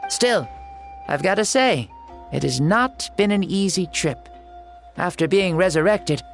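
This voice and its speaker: An actor voicing a jaunty, grandiose ancient fire-god spirit in a boy's body for a video game, playful and theatrical with old-fashioned turns of phrase. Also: medium pitch. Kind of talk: video game character acting. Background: music under the voice.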